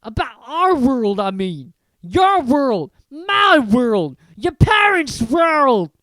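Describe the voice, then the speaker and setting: A reader voicing a huge, hot-tempered male giant from a storybook, who talks in a loud, gruff rural dialect. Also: high-pitched, big pitch swings, normal speed, no echo, voice pushed up. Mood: outraged. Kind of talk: audiobook character reading.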